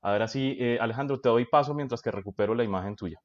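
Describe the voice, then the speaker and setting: A man's voice: mid-range voice, quick pace. A man hosting a live-streamed interview over a video call, asking his guest direct, friendly questions. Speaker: host. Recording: slightly dull video call audio.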